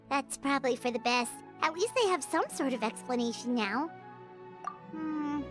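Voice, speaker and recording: Game fairy sidekick: tiny, extremely high, animated childlike voice, music behind. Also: female voice, heavily acted. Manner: childlike, refers to herself in third person. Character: small, chirpy, curious floating fairy companion girl. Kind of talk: video game character acting. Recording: background music over clean game dialogue.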